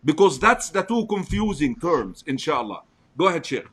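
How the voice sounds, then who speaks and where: Sheikh teaching in English. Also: male voice, a little high, very animated, a bit bright, slightly rough. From Egypt. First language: Arabic. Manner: explains slowly, reciting Arabic verses. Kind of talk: religious teaching discussion.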